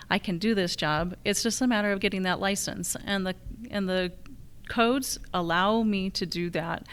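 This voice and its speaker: A woman's voice: medium pitch, fairly bright tone, clean tone. An American woman speaking as a political candidate at a public forum, addressing voters directly. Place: live candidate forum on a college campus.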